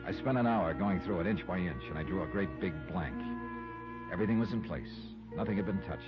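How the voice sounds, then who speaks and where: A man's voice: sits low, lively intonation, brisk. An American man playing a shrewd, world-weary insurance investigator in a 1950s radio detective drama, with clipped hard-boiled narration. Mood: brooding, intent. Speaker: lead actor and narrator. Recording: muffled old radio recording with music.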